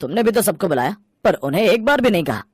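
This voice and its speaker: A man voicing a mild, reasonable grown son in a story, with a calm, conciliatory manner. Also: sits high, quick pace, no echo, clean studio sound. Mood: gently reproachful. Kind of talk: acted story dialogue.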